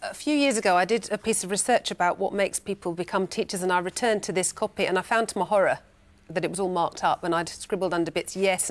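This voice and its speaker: A woman on a discussion panel telling reflective personal anecdotes in a wry, self-deprecating way. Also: fairly low pitch. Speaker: panel guest.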